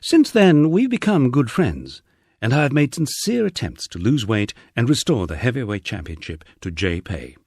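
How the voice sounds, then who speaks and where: Male audiobook narrator, lowish husky voice with an animated, expressive read. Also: normal speed, dry recording, clean studio sound. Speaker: audiobook reader. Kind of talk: scripted audiobook narration of a memoir.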